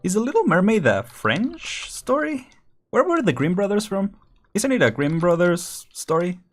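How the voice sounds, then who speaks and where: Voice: mid-range voice, very animated, normal speed, faint music behind. A streamer giving casual let's-play commentary, chatty and laughing often while thinking aloud.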